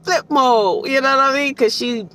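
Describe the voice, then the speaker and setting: A woman giving casual, unscripted commentary in an animated, colloquial way, repeating phrases for emphasis and checking in with the listener. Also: sits high, normal speed. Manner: chatty, often checks in with the listener. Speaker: audiobook reviewer giving personal commentary.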